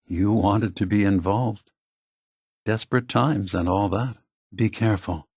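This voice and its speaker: A man voicing a calm, remorseful old synthetic android leader in a video game, speaking in a measured, grave, deliberate way. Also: low-pitched, breathy edge, dry recording, studio quality.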